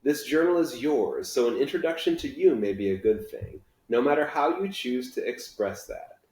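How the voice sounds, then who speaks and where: A man's voice: medium pitch, expressive, normal speed, darkish tone, natural voice. A man reading a children's novel aloud, voicing an upbeat, encouraging and kind schoolteacher with emphatic delivery. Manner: emphatic, theatrical, reassuring. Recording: echoey room.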